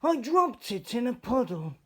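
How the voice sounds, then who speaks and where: A man voicing a timid, tearful storybook fox in a plaintive, drawn-out way. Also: very high-pitched, sing-song, fairly bright tone, voice pushed up, exaggerated voice. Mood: forlorn.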